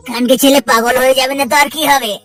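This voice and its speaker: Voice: sits high, no room sound, recorded from a distance. A performer voicing a foul-mouthed, mocking young cartoon man, in exaggerated comedy dialogue. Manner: crude, taunting, slangy.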